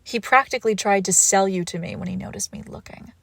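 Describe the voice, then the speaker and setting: A woman playing a suave, controlled villainous ex-lover in an intimate audio roleplay, with measured formal phrasing and soft breathy pauses. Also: medium pitch, no echo. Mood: exasperated, protective. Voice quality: creaky, often dropping into whisper. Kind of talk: scripted romantic audio roleplay, intimate and slow.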